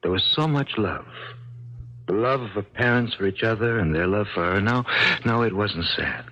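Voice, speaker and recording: An actor playing a kindly, folksy man of the house who is fond of his cat, unhurried, in 1970s radio drama style. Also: on the low side, fairly bright tone. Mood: tender, reminiscent. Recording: dull 1970s radio broadcast recording.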